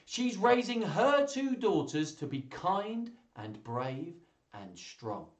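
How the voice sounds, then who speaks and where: A man's voice: high voice, sing-song, slow pace, pitched above the performer's own voice, heavily acted. A man voicing proud storybook family members in an emphatic, sing-song children's reading. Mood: proud, adoring. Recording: roomy, echoey live stream sound.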